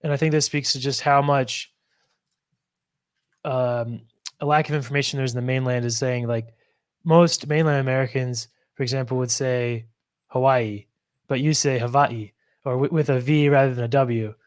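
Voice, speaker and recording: A man's voice: mid-range voice, fairly even intonation, moderate pace, no room sound, studio quality. A man hosting a podcast interview, casual and affirming, often saying "man" and "you know". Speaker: podcast host.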